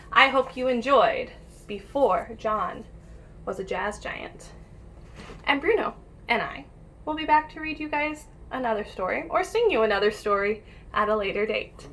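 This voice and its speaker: A woman with a very bright, fairly high voice reads a picture book aloud as a teacher would for young pupils. She talks straight to the children in an encouraging way. The home-made recording has a slight background noise. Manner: addresses young listeners directly, encouraging. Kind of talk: children's read-aloud with asides and questions.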